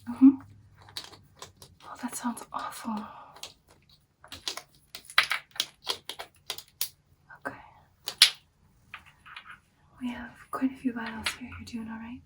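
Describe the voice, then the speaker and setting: A woman playing a caring, anxious vampire girlfriend in a whispered ASMR roleplay, speaking softly and haltingly in a soothing way. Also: fairly high pitch, level tone, far from the mic, background noise, natural voice. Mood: caring, attentive. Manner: soft, hushed, halting, soothing personal attention.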